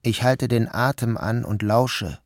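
A man narrating a literary novel in the first person, in a measured, subdued, dreamlike tone. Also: medium pitch, dry recording, studio quality. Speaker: audiobook narrator.

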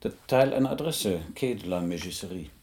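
An actor playing a shrewd investigator giving clipped, matter-of-fact orders in a German radio crime drama. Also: male voice, fairly low pitch, expressive, fairly quick, darkish tone. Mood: matter-of-fact, commanding. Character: shrewd, commanding male investigator briefing his assistant.

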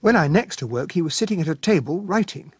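An actor playing a genial, earnest English gentleman, a detective's loyal sidekick, mild and polite with an English accent in a radio drama. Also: fairly high pitch, lively intonation, fast, slightly rough, dry recording. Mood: reflective narrating. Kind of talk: radio drama narration and dialogue.

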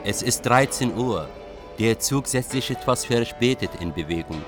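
A man playing a reflective train traveller and writer, narrating in a measured, literary radio drama manner. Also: mid-range voice, animated, music in the background, close to an everyday voice. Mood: observant.

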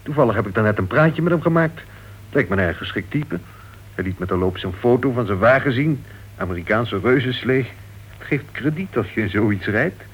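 A man's voice: medium pitch, lively intonation, brisk, husky. An actor playing a well-meaning, persistent clubman in a Dutch radio play, questioning and coaxing like a concerned friend. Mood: puzzled. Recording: dull old radio recording, faint noise.

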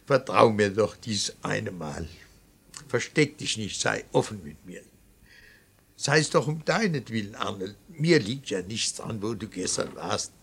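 An actor playing a stern, anguished middle-aged father in a classic German radio drama, raspy and insistent, moving between reproach and pleading. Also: on the low side, animated, unhurried, fairly bright tone, exaggerated voice. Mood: earnest, urging. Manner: insistent, theatrical, swings from biting reproach to pleading.

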